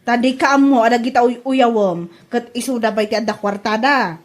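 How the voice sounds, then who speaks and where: Actress as a nosy Ilocano village wife, high voice, scolding and emphatic, for comedy drama. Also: female voice, fairly even intonation, brisk, natural voice. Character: sharp-tongued, scolding village woman, a gossipy neighbour and wife. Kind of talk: Ilocano comedy radio-style drama acting.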